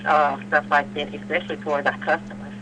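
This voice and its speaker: A woman's voice: sits low, level tone, fast. A woman handling a customer complaint by phone, firm and plain-spoken, restating her points. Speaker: store employee taking a customer complaint. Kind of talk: customer service phone call. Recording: noisy telephone line with hum.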